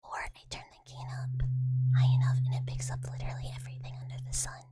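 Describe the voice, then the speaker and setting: A woman ASMR streamer softly whispering and rambling while she chats with her live audience about her microphone setup. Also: fast. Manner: soft whispering, rambling with many fillers. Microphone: USB desk mic.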